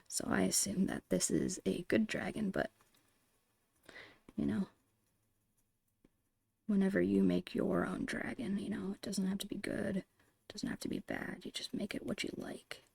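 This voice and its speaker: A woman whispering a casual, gentle ASMR drawing tutorial in a breathy, unhurried voice. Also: medium pitch, steady tone, no room sound. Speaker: ASMR host and digital artist.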